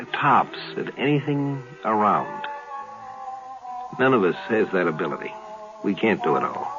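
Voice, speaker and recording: A man hosting a 1950s radio show, giving a plainspoken, folksy moral talk in deliberate phrases with pauses. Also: on the low side. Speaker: host giving closing commentary. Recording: muffled vintage 1950s radio recording. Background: music.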